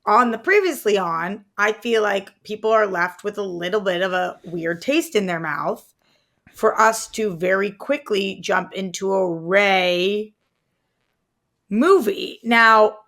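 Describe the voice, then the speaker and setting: A woman with a medium-pitched voice and a noticeable creak. She talks like a podcast co-host in casual conversation, informal and slangy, wandering through her thoughts as she gives opinions. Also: slow, fairly bright tone. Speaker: co-host of a film talk podcast. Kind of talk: casual chat and opinion about movies.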